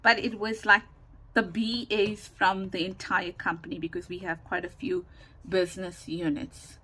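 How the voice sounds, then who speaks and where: A woman giving a casual, chatty craft demonstration, thinking aloud in a rambling way with a loud, carrying voice, recorded without a separate microphone. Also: mid-range voice, flat delivery, normal speed, breathy edge. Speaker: craft tutorial host. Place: indoors at a home craft desk. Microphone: camera or phone without separate microphone. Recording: noisy room, no microphone.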